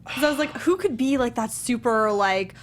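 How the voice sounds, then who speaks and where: A woman's voice: on the high side, expressive, normal speed. A woman co-hosting a casual panel chat, speaking informally and emphatically. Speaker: panel co-host. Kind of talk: casual chat about film casting.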